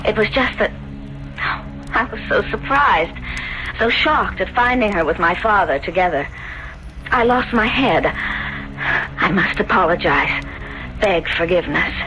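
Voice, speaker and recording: Meek, bright-voiced young woman. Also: medium pitch, animated, measured pace, pauses often, low-quality recording. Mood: contrite, fearful. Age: young adult. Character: meek, self-deprecating young Italian woman, guilty and shy. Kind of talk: 1970s radio drama acting. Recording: vintage radio broadcast, music behind.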